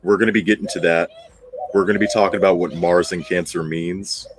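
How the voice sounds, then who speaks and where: A man's voice: low-pitched, steady tone, fairly quick, a crowd in the background. A man hosting an astrology commentary, part reading and part ad-libbing, speaking bluntly and emphatically and repeating phrases for emphasis. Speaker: host of an astrology commentary channel.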